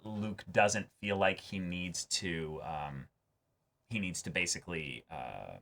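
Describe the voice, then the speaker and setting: Male co-host, slightly low bright voice, casual fan talk, explains by acting out imagined quotes. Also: slow, gravelly. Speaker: co-host of a TV reaction and discussion show.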